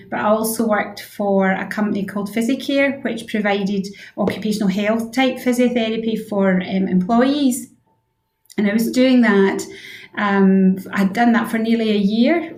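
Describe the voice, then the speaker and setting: A woman's voice: medium pitch, steady tone, normal speed. A Scottish woman of about sixty answering conversationally as a guest in a radio interview. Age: around 60. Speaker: interview guest, head physiotherapist. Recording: roomy, echoing room sound.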